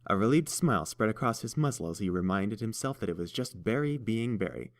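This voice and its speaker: A man narrating a story in a steady, even storytelling manner. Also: on the low side, a little fast. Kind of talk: fan audiobook narration.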